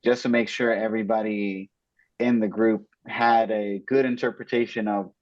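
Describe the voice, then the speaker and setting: A man's voice: on the low side, fairly even intonation, normal speed, a little mellow, no echo, lo-fi sound. A young man, a student guest on a podcast, speaking modestly and hesitantly with lots of fillers. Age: young adult. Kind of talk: casual podcast interview.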